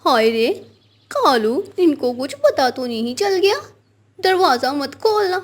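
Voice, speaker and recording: A woman's voice: high-pitched, very animated, takes it slowly, full character voice. A woman voicing an anxious, scheming bird wife in a children's cartoon, fretful and conspiratorial. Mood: panicked.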